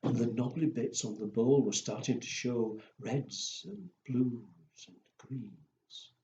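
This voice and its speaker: A man's voice: mid-range voice, slow pace, darkish tone. A man telling stories and rhymes to young children in a playful, simply phrased way. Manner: playful, simple phrasing with acted woofs and exclamations. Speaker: children's storyteller. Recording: echoey room, dull sound, faint noise.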